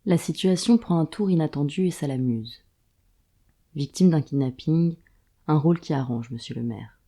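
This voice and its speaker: A woman narrating a scripted audio drama in a deliberate, wry storytelling manner. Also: deep voice, expressive, mellow.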